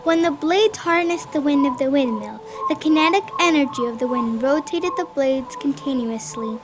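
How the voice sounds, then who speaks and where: A woman's voice: high-pitched, expressive, normal speed, background noise. A young female reading scripted narration as a storyteller in a school radio drama. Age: child or young performer.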